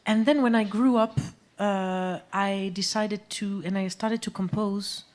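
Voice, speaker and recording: A woman, a musician guest talking about her music in a live on-stage interview, pausing often to search for words. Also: medium pitch, fairly even intonation, darkish tone. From Algeria, raised in France. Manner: hesitant, searching for words, many fillers. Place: small music venue stage.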